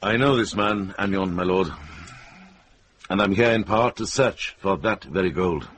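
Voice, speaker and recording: A deep-voiced, eloquent medieval monk. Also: male voice, fairly bright tone, slight background noise. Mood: urgent, firm. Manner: deliberate, eloquent, persuasive. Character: wise Welsh monk and sleuth, steady advocate. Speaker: actor in a radio drama. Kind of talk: radio drama acting.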